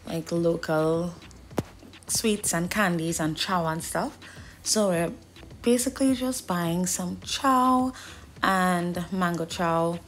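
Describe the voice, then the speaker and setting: A woman's voice: on the low side, takes it slowly, fairly bright tone, slight background noise. A young woman with a Trinidadian accent, chatting casually to the camera as a vlogger. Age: young adult.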